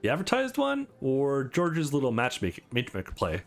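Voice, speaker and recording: Man reading as composed male visual novel lead: cocky, dry banter, highly varied pitch, faint music. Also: wildly swooping pitch, moderate pace.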